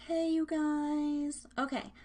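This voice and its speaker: A woman's voice: high voice, flat delivery, slow, darkish tone. A woman hosting a live internet radio show in casual, scattered chat, speaking straight to her listeners. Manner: chatty, scattered, addresses listeners directly. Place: indoors at home.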